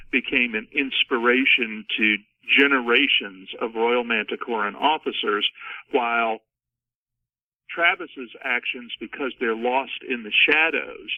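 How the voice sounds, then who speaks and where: A man speaking as an author in a casual panel discussion, in a halting way that circles back over his words. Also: medium pitch, no room sound, distant mic. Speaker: author being interviewed. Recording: thin phone-like band, dry.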